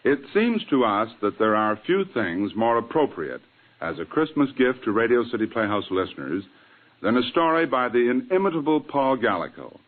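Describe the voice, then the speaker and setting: A man's voice: medium pitch, big pitch swings, normal speed. A man, the director of a radio drama, giving a scripted 1940s-style introduction. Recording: tinny vintage radio recording.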